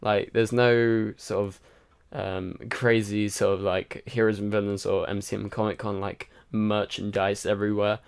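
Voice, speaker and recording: A man's voice: on the low side, flat delivery, normal speed, creaky, smooth. Tired, rambling young man livestreaming. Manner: rambling, chatty, many fillers. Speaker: livestream host. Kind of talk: casual livestream chat reading comments. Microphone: home microphone.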